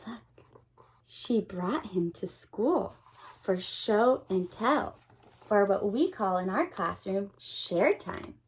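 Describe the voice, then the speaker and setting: A woman teacher reading a picture book aloud to young children, expressive and dramatic, pausing to put questions to her listeners. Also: medium pitch, husky. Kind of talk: animated children's read-aloud.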